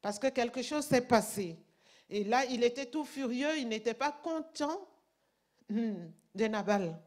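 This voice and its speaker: Slow-speaking woman preacher, emphatic. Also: on the high side, expressive. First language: not French. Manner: slow, emphatic, rising to loud passages. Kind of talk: sermon with Bible reading.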